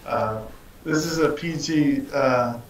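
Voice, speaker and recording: A man's voice: mid-range voice, slow, a little hiss or hum. A middle-aged man answering interview questions in a matter-of-fact way over a video call. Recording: echoey distant video call audio.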